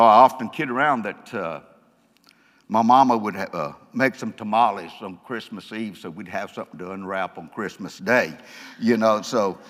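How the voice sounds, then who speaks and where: A man's voice: mid-range voice, fairly bright tone. Stuttering man telling his testimony in a hall. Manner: folksy, joking storyteller. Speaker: church speaker giving testimony. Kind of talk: testimony talk to a congregation. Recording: long hall reverb.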